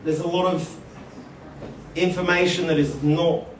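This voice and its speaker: A man giving a live conference talk, informal and halting, calling people mate. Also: a little high, slight background noise. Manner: informal, addresses people as mate, restarts phrases. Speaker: conference speaker. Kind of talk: live presentation to an audience. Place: conference hall. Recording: echoey hall, picked up from far off.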